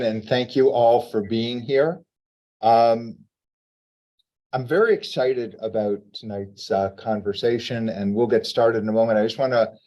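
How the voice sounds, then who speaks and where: A man as president and moderator, opening a virtual panel in a measured, welcoming way with some fillers, heard over a video call. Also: medium pitch, darkish tone.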